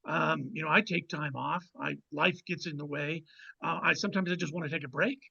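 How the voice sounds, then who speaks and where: A man's voice: a little high, steady tone, fairly quick, creaky, sharp tone, husky, dull audio. A man speaking casually as an author guest in an interview, giving emphatic advice and repeating words as he goes.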